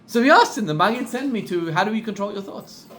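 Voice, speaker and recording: A middle-aged man with a South African accent tells a story in a sermon, acting out each voice and repeating words for effect. His voice sits a little high and swings widely in pitch. A faint hiss runs under the recording. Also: fast talker. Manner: animated storyteller, acts out quoted voices, repeats for emphasis. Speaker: rabbi.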